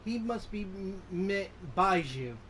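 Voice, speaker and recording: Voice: medium pitch, animated, slow pace, fairly bright tone, slight background noise. A gaming channel host giving casual, informal gameplay commentary, often muttering to themselves. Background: game music.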